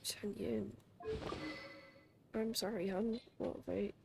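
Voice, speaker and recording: Creaky-voiced woman streaming a game. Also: medium pitch, fairly even intonation, slow pace, background noise. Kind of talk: casual live gameplay commentary. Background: game music.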